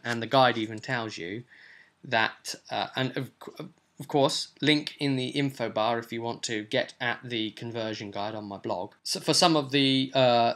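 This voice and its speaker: A man in his twenties with a bright, forward voice talks casually as a game master. He recaps a tabletop role-playing session in an explanatory, vlog-like way, with an ordinary male pitch. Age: 20s. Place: small cluttered room at home.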